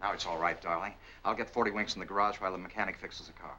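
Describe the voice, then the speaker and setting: An actor playing an eager, protective young American bridegroom in brisk, affable 1940s film style. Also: male voice, low voice, fairly even intonation, pushed and bright. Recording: muffled, noisy old film soundtrack.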